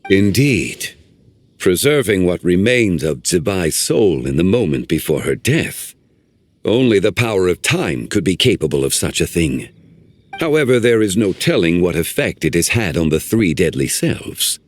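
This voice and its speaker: A man voicing an ancient male guardian in a video game, speaking in a formal, measured, old-fashioned way. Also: deep voice, sing-song, no room sound. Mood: grave.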